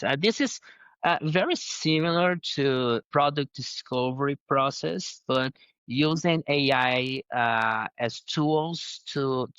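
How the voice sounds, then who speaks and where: A man with a heavy accent who is not a native English speaker, answering interview questions slowly, with many fillers and restarts. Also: medium pitch, a bit bright, clear, resonant tone, no echo, highs cut off. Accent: Spanish-speaking. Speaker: guest, head of design.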